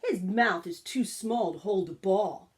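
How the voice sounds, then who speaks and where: A woman's voice: medium pitch, a little slow, close to an everyday voice. A woman voicing sneering, bullying neighborhood dogs from a storybook in a mocking, emphatic way.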